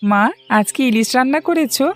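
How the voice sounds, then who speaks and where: A woman's voice: fairly high pitch, lively intonation, brisk, lightly acted. A woman voicing a kind-hearted young village boy in a cartoon, earnest and pleading. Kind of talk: Bengali cartoon voice acting.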